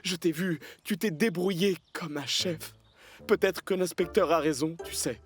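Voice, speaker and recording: A man's voice: fairly high pitch, moderate pace, husky, soft background music. A man playing a proud but easily discouraged male fire engine in a children's cartoon, with a theatrical, emphatic delivery. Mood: sulky, dejected.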